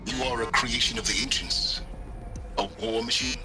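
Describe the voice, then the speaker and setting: An actor playing an enigmatic, calculating scholar who speaks in a measured, wry manner with deliberate pauses. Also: male voice, medium pitch, steady tone, heavy background noise, close to an everyday voice. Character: enigmatic scholarly male outcast, calm and calculating.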